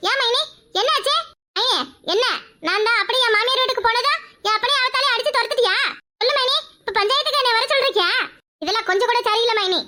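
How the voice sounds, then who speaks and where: Cheeky freeloading sister-in-law, put on by a woman, very high, bright and quick, teasing sing-song delivery. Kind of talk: village family comedy skit.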